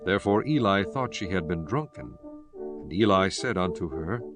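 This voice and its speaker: A man narrating scripture from a script in a solemn, measured storytelling manner. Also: sits low, expressive. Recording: music bed behind the voice.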